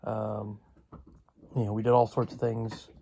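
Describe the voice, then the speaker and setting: A man vlogs off the cuff in a monotone with a gravelly edge. He speaks haltingly and hesitantly, pausing often as he casually explains. The home-made recording has clear noise behind him. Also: on the low side. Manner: halting, hesitant delivery with long pauses. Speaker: vlogger. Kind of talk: casual unscripted vlog talk.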